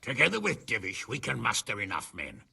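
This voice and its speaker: An actor playing a brash, hot-tempered middle-aged medieval lord in a game, blunt and blustering, with a gravelly, raspy voice. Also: male voice, mid-range voice, wildly swooping pitch, brisk, pushed and bright, far from the mic, exaggerated voice. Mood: gruff, resolute. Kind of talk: video game cutscene acting.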